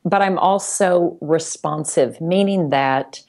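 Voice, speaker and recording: A woman's voice: fairly low pitch, slow pace, gravelly, darkish tone. A woman speaking as a podcast guest in casual conversation, explaining things reflectively step by step. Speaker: podcast guest, sales executive.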